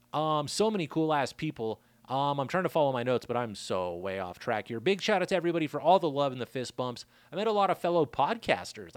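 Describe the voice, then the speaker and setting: A laid-back man talks straight into a close studio mic, his throat worn raspy. He rambles casually through stories and shout-outs. The room is dead dry, with no echo. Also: medium pitch. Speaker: podcast host.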